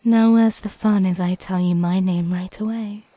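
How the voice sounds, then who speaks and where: A woman playing a flirty, teasing mermaid in an intimate ASMR roleplay, coy and playful with breathy half-whispers. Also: medium pitch, fairly even intonation, normal speed, dark tone, no echo, natural voice.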